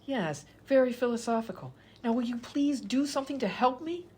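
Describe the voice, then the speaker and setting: A woman's voice: fairly high pitch, expressive, moderate pace, a little mellow, breathy edge. A woman playing an exasperated, indignant hospital patient pleading her case in a comedy radio sketch.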